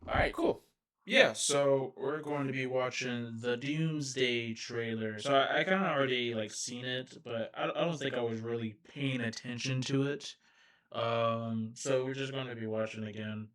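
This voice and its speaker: A soft-spoken young man rambling casually through a reaction video, full of fillers and restarts. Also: mid-range voice, normal speed.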